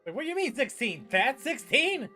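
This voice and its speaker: A man's voice: extremely high pitch, very animated, moderate pace. A man giving casual gaming playthrough commentary in a slangy, exclamatory way. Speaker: gaming streamer. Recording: game music behind the voice.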